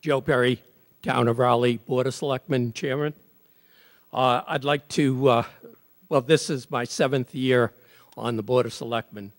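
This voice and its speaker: A man's voice: medium pitch, steady tone, measured pace, big-room sound. A man speaking as a town selectman, making a formal, matter-of-fact public comment about budget figures.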